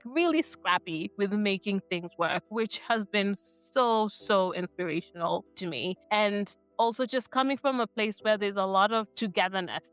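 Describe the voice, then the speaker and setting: A middle-aged woman from Kenya talks earnestly to camera in a clear, resonant voice, circling back to restart her thoughts as she reflects. Her pitch sits in an ordinary middle range. A faint bed of music plays under her. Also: fairly bright tone, dry recording, poor audio. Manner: earnest, many restarts and "right?". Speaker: vlogger. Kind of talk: reflective personal talk to camera.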